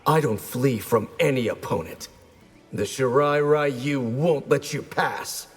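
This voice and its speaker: A man voicing a stern, loyal, defiant warrior in a video game, with a gravelly voice. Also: medium pitch, slow pace, long reverb tail, faint music behind, natural voice. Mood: resolute.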